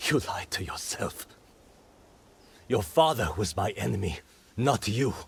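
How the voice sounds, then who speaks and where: An actor playing a guilt-laden but defiant, battle-worn rebel fighter in a video game, with a husky, gravelly voice. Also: male voice, lowish voice, lively intonation, measured pace, heavily acted. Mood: grim resignation. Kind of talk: dramatic video game cutscene acting.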